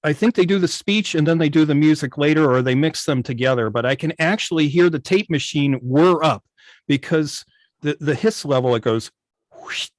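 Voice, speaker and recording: Male guest, fairly high voice, casual and analytical explainer, close dry sound on a video meeting. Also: normal speed, no echo. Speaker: guest, old-time radio station operator.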